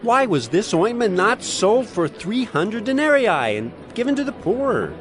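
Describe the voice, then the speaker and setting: An actor playing a grumbling male disciple who complains in sharp questions. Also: high voice, very animated, unhurried, a crowd in the background. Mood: indignant. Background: music score.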